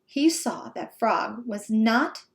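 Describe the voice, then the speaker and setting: A woman reading a children's storybook aloud in an expressive, emphatic narrating style. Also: fairly high pitch, slow pace. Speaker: storybook reader.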